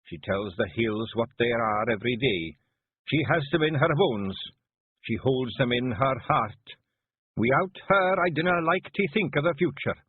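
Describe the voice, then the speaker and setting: A man voicing a small, brawling, brooding fairy clan chief with a broad Scottish accent and a gruff, blustering delivery. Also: mid-range voice, unhurried, no echo, voice pushed up. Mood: earnest. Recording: phone-like narrow band.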